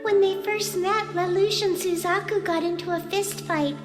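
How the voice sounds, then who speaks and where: A woman playing a sweet, gentle little sister in an anime audio drama, innocent and cheerful. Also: extremely high pitch, fairly even intonation, moderate pace, over music. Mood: playful, teasing.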